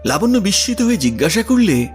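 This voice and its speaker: Male narrator, mid-range and animated, expressive literary storytelling with faint background music. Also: high-pitched, a little fast.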